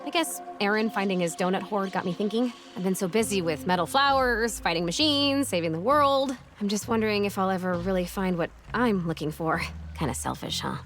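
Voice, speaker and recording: An actress playing an earnest, questioning young heroine in an animated video game, wistful and a little wry. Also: female voice, medium pitch, brisk, hardly any pauses, lightly acted. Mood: pensive. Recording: music underneath the voice. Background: music.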